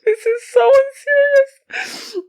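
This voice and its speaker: A young woman from Portugal chatting casually to camera about books, rambling and animated, laughing often and swearing freely, her voice on the deep side. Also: slow pace, dry recording. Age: young adult. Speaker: book vlogger.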